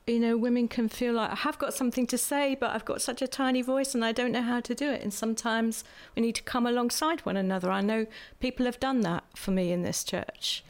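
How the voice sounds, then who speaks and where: Earnest woman speaking with measured pauses. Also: fairly high pitch. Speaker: church speaker who prophesies. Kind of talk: church panel conversation.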